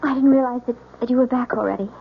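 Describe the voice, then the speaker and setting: An actress playing an anxious young newlywed wife in an old-time radio mystery, halting and pleading, repeating herself. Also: female voice, fairly high pitch, steady tone, gravelly, background noise. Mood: uneasy, evasive. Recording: dull old radio recording, faint music.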